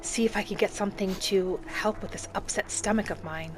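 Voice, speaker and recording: A woman narrating a fantasy game roleplay in character as a gentle young wood elf, with an unhurried, musing delivery. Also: mid-range voice, background noise. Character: gentle young female wood elf traveler, earnest and principled.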